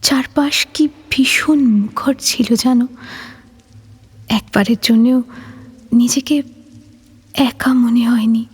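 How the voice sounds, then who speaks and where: A woman playing a lonely forty-year-old reading out her tender letter, hesitant, intimate and breathy, in an audio drama. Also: a little high, steady tone, takes it slowly, pauses often, in a large hall, full character voice. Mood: tender, wistful. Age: 40s. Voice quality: breathy, half-whispered. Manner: hesitant, intimate, repeating phrases.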